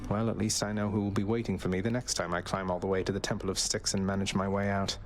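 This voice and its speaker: An actor playing a wry, cocky young prince in a fantasy video game, dry, casual and polite. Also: male voice, low voice, little pitch movement, fast, soft background music, close to an everyday voice.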